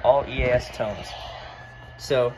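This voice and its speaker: A man's voice: medium pitch, fairly even intonation, slow. Guy doing a laid back how-to, mid voice, talks it through as he goes, really noisy phone video. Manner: informal, thinking aloud. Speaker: video creator giving a demonstration. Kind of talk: casual hands-on tutorial narration. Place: indoors at a wooden desk.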